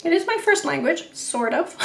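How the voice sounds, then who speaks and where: A woman's voice: sits high, lively intonation, measured pace, gravelly, poor audio. A woman hosting a live watercolour painting stream, chatting with viewers in a rambling, laughing way. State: sore neck.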